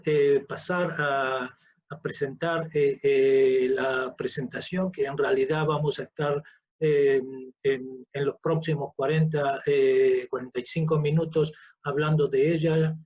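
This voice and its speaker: An older man giving an online scientific lecture, halting and often repeating his words over a video call. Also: on the high side, flat delivery, darkish tone, highs cut off. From Uruguay. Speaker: professor and researcher, lecturer.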